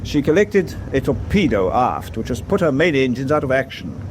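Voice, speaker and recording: A man playing a grave, resolute British senior naval officer in a wartime radio drama, speaking with deliberate, understated formality. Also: mid-range voice, lightly acted. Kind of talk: WWII radio drama acting. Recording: steady ship ambience noise behind.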